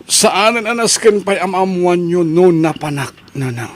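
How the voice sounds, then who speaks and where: A man's voice: high-pitched, animated, measured pace. A man playing a stubborn young son in a family radio drama, speaking insistently and emphatically. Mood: defiant. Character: stubborn, restless young son desperate for money.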